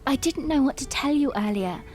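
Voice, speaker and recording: A woman's voice: high-pitched, animated, brisk, darkish tone. A woman playing an earnest, dutiful young princess with formal phrasing in a fan audio drama. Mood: earnest, pondering.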